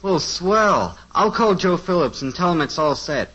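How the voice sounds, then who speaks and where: A man's voice: high-pitched, expressive, measured pace, a bit bright, exaggerated voice. An actor playing an eager, scheming teenage son in a 1950s radio sitcom, earnest and breezy, fumbling his words. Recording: dull lo-fi old radio transcription.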